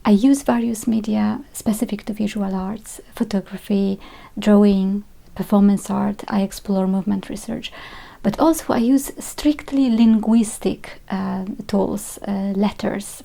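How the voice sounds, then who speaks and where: Woman, artist, reflective, hesitant pauses, dark mellow tone. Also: medium pitch, steady tone, creaky. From Poland. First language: Polish. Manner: measured, with frequent hesitations. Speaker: visual artist. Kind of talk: reflective talk on her art practice.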